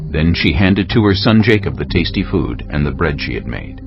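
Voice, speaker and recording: A man's voice: low voice, very animated, moderate pace. A man narrating dramatized scripture as a formal storyteller. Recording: dull muffled audio with music.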